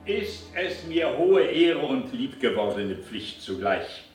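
An actor playing a pompous club official in a German radio drama, giving formal, ceremonious oratory. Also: male voice, mid-range voice, very animated, takes it slowly, soft background music. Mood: ceremonious, proud. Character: pompous club official making a welcome speech. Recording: echoing, distant public-address style speech.

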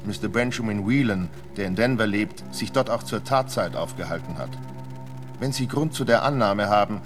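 An actor playing a formal, courteous frontier sheriff reading out his letter in a radio play, measured and sober. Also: fairly low pitch, lightly acted.

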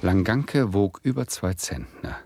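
An actor playing a wry, somewhat naive narrator in a 1970s German radio drama, with music underneath. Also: male voice, low-pitched, very animated, a little slow, a little mellow, slight background noise, heavily acted. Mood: dry narrating. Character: wry male narrator, hesitant, naive sidekick of a speculator. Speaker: narrator and character in radio play.

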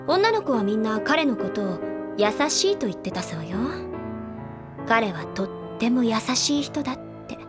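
A woman playing a confident, teasing high-school senior girl who recounts gossip in a feminine storytelling way in an audio drama. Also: close to an everyday voice. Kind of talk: anime audio drama dialogue.

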